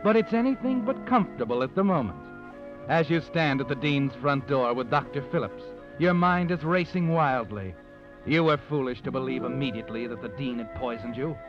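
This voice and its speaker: A man's voice: mid-range voice, animated, fairly quick, natural voice. Man acting as sinister radio narrator, taunting, dramatic, muffled vintage recording, music behind. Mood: ominous, taunting. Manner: ominous, mocking, dramatic, addresses the character. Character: sinister all-knowing male narrator taunting the guilty. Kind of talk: 1940s radio noir narration.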